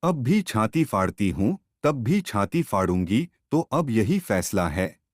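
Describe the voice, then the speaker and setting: A man voicing a sharp-tongued, defiant village wife in a story, taunting and retorting. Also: lowish voice, sing-song, unhurried, no echo, studio quality.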